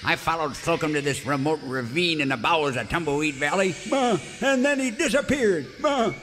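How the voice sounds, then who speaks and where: A man's voice: a little high, expressive, moderate pace, noisy background, close to an everyday voice. A man playing a wizened, folksy, hammy snooping character in an old-time radio Western parody. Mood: puzzled. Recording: echoey stage, loud music.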